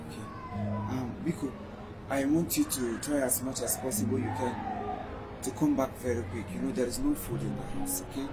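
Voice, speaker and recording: A man's voice: mid-range voice, fairly even intonation, moderate pace, over loud music, natural voice, rough recording. An actor playing an injured, broke husband, pleading with his wife. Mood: gentle. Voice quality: pleading and wounded.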